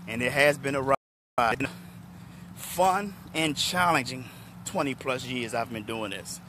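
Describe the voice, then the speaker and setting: A middle-aged man from Washington, D.C., a motivational speaker, talking hoarsely and chattily to viewers on a phone held at arm's length outdoors. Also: mid-range voice, a little slow, a little hiss or hum. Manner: relaxed, chatty, addresses viewers by name. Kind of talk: live-streamed talk to viewers. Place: outdoors in a parking lot under trees.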